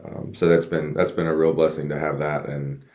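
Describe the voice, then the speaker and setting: A man's voice: extremely low pitch, flat delivery, a little fast, darkish tone. A man raised in the United States, a race car driver, telling his story earnestly as a guest in a casual podcast interview. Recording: roomy echo.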